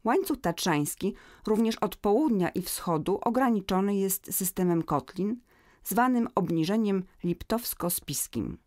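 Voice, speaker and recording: A woman narrating a scripted educational audio guide in a measured, informative manner. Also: medium pitch, lively intonation, a little mellow, no echo, clean studio sound.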